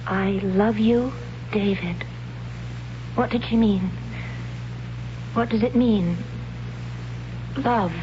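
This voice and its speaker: An actress playing a naive, wondering young tribeswoman of a future world, halting and simple, in a 1970s radio drama on a dull, noisy recording. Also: medium pitch.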